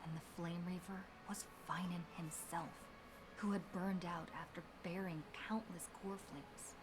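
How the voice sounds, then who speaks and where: A woman playing a solemn young game heroine, recounting an epic saga in measured, dramatic scripted narration. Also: fairly low pitch, fairly even intonation, noisy background, close to an everyday voice. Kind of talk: scripted story recap narration.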